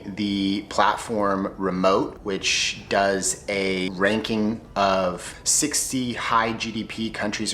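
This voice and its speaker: A young American man with a very deep voice walks through his vlog in an even, scripted way. His delivery is clear and practiced. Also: a little hiss or hum. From United States. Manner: clear, steady presenter delivery. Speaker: YouTuber.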